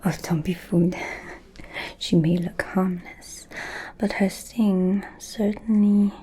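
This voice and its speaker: Woman acting as an ancient vampire lady, asmr roleplay, breathy mellow whisper, super slow n seductive, kinda menacing. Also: medium pitch, steady tone. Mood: amused, conspiratorial. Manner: slow, deliberate, pausing, intimate.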